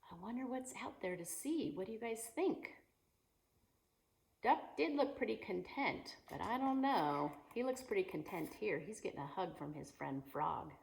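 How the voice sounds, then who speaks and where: A woman teacher reading a picture book aloud to children in an expressive, animated way, asking her young listeners questions. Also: medium pitch, normal speed, vocal fry. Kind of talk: animated children's story time read-aloud.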